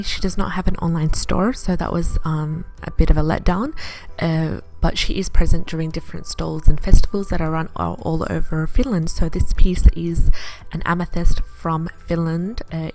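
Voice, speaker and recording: A woman from Iraq narrating her vlog in a chatty, enthusiastic way, pausing often with "uh". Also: deep voice, a little mellow. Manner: chatty, enthusiastic, with frequent "uh" pauses. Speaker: vlogger.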